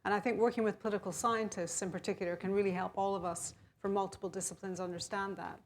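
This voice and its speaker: A woman's voice: mid-range voice, fairly even intonation, fast talker, slight background noise. A woman on a research panel, giving frank, direct advice. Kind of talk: panel discussion answer.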